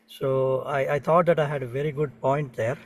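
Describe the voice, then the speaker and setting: A man's voice: medium pitch, steady tone, normal speed, distant mic. A middle-aged man with an Indian accent, sharing a personal story in a group session, hesitant and full of fillers. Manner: hesitant, many fillers, soft-spoken. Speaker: participant.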